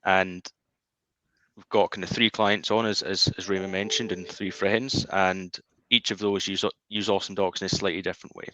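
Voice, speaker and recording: A man's voice: low-pitched, little pitch movement, normal speed, pushed and bright, no echo. A man giving an informal product presentation from an office, heard over slightly dull, lo-fi call audio. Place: indoor office.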